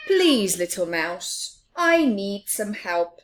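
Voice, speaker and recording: A woman voicing a grumpy, overworked male mouse gardener in a children's storybook, loud, exasperated and pleading. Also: medium pitch, very animated, takes it slowly.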